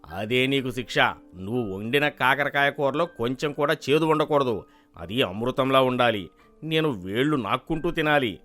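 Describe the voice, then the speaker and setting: A man's voice: medium pitch, expressive, normal speed, a bit bright, soft background music, close to an everyday voice. A man playing a gluttonous, short-tempered landlord with a pompous, commanding manner in a storybook cartoon. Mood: angry. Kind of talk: animated storybook dialogue.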